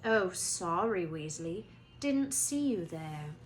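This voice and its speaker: A sneering schoolboy bully from a storybook, acted out by a woman. He drawls his taunts with smug, mocking relish. There is clear noise in the recording. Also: lowish voice, animated, takes it slowly. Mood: smug taunting.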